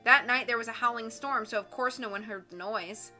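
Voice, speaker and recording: A woman's voice: a little high, a little fast, pushed and bright, faint music behind. A woman reading as a blunt, dry tomboy. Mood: explaining.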